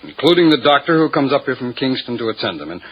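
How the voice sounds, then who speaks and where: A man playing a defensive, touchy rest home owner in a 1950s radio drama, husky, indignant and hesitant. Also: medium pitch, big pitch swings, fast. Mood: uneasy, defensive. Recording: dull vintage radio recording.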